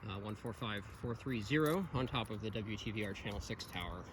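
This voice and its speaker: A man giving an informal, technical gear review of handheld radios in a halting, rambling, matter-of-fact way. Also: on the low side. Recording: very noisy, radio static and chatter. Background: radio hiss and transmissions.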